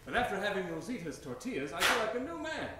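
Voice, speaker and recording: A man's voice: high-pitched, very animated, quick pace, far from the mic. A man playing an earnest, hearty, folksy wartime endorser in a parody 1940s radio advertisement. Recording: noisy, echoey live stage.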